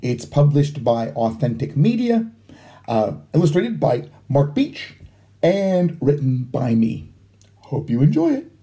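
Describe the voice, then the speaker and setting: A man in his sixties, a children's author, reading a bedtime story aloud in an animated storytelling style. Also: fairly high pitch, takes it slowly. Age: 60s.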